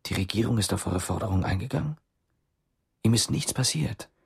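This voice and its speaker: An actor playing a terse, guarded man on the run in a German radio drama, with a husky, gravelly voice. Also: lowish voice, lively intonation, a little fast, heavily acted. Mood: probing.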